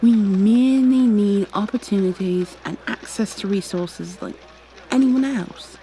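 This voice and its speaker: A woman's voice: mid-range voice, measured pace, warm tone, lightly acted, rough recording. A woman playing a proud, exasperated goo girl special agent with prim, formal wording in an ASMR roleplay. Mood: weary, earnest. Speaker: voice actor in an audio roleplay.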